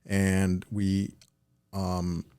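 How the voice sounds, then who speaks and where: A man speaking casually as an interview guest, in a halting way, often repeating and correcting himself. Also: deep voice, completely flat delivery, warm tone, clear, resonant tone. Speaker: podcast guest, title company executive. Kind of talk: casual business interview chat.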